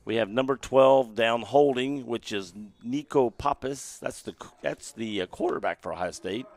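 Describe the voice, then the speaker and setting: Hoarse man calling football play-by-play. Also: medium pitch, normal speed, no room sound. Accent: American Midwest. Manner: gets loud and excited on big plays, joking in lulls. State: losing his voice, hoarse. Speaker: play-by-play announcer. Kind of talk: amateur live football commentary. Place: college football stadium.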